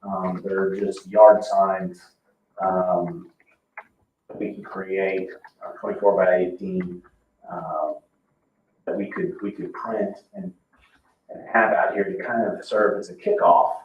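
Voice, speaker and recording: Halting man in a roomy meeting. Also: sits low, level tone. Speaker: commission member presenting at a public meeting. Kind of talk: informal meeting presentation and discussion. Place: indoor public meeting room. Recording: roomy, distant, dull, noisy meeting-room pickup.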